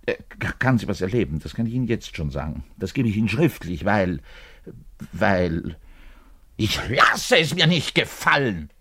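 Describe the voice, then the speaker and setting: A bitter, envious orchestral bassist in a radio play. The voice is low and husky, and he speaks slowly and deliberately. He addresses the listener directly, full of self-pity, moving between muttered asides and ranting. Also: full character voice. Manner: ranting, self-pitying, swinging from muttering to loud outbursts. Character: frustrated, lovesick orchestral double bass player, bitter and envious. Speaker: actor in a radio play monologue.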